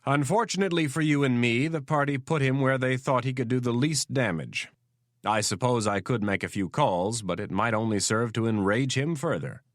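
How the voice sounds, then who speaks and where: A man's voice: medium pitch, normal speed, no room sound, clean studio sound, voice pushed up, natural voice. A man voicing a weary middle-aged male president who talks plainly and frankly.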